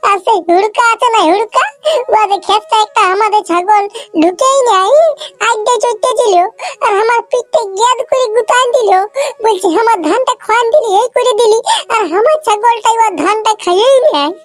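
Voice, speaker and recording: Super squeaky village cartoon kid, purulia bengali accent, brash schemer, whiny and boastful. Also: normal speed, a bit bright. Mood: tearful complaining. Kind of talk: exaggerated comedy cartoon dialogue.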